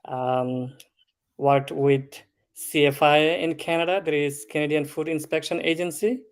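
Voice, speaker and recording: Man in his 30s from Bangladesh, consultant guest, slow careful explainer. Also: fairly high pitch, fairly even intonation, smooth. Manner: slow, measured, explanatory with filler ums. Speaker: food safety consultant, guest. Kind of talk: interview answers.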